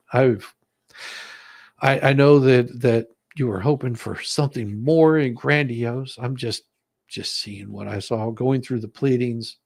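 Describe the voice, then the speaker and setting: A man's voice: medium pitch, creaky, a little mellow, breathy edge, dry recording. A man chatting casually on a livestream in a rambling, hesitant way as the host.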